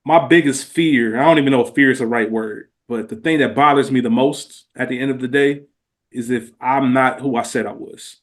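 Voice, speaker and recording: A man with an American accent speaks in a medium register as part of a casual podcast panel. He sounds earnest and personal, often repeating a phrase to drive it home. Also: mid-range voice.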